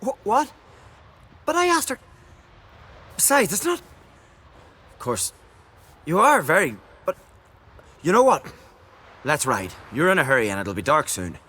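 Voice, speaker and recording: An actor playing a shy, earnest young island man in a video game, flustered and halting. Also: on the high side, huge pitch swings, pushed and bright, exaggerated voice. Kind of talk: video game dialogue acting.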